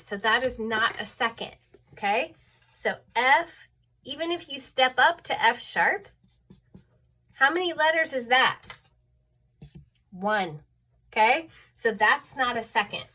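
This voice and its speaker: A woman's voice: high voice, pushed and bright. A woman teaching an online music theory lesson, a little stuffed up, patiently explaining and often checking in with "okay?".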